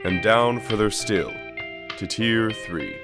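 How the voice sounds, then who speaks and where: A man playing a measured, philosophical narrator with a deliberate, rhetorical delivery in a radio play. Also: fairly low pitch, lively intonation, over loud music. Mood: measured, intriguing. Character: measured philosophical narrator guiding down through a mind. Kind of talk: scripted radio drama narration.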